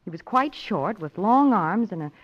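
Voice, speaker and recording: A woman's voice: medium pitch, animated, normal speed, lightly acted. An actress playing a plucky young society lady in an old-time radio drama, with a wry, flippant, playful delivery. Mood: shaken, wry. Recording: dull lo-fi old radio recording.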